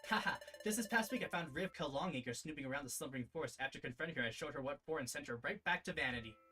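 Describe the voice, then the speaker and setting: A man's voice: on the high side, animated, very fast, bright, forceful tone, faint music behind. A man voicing a cheerful, boastful young female character who chatters eagerly while reading a visual novel aloud.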